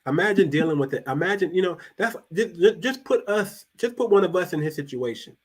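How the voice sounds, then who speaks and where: A man hosting a casual talk show, speaking loosely, repeating and restarting words, and dropping his voice low for emphasis. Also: expressive, fairly quick, husky. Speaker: podcast host.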